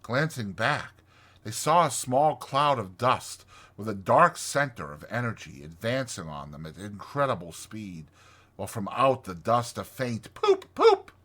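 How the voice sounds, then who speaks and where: The man you would hear on a dramatized audiobook of an old children's classic, telling the tale theatrically in a lowish, keen-edged voice. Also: animated, measured pace, sharp tone. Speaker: audiobook narrator. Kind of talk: dramatized storybook narration.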